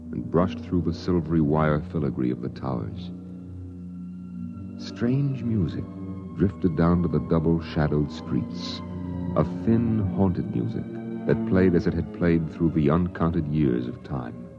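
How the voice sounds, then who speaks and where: A male narrator reading a 1950s radio drama in a slow, solemn, deliberate way. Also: very deep voice. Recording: muffled old radio broadcast with music.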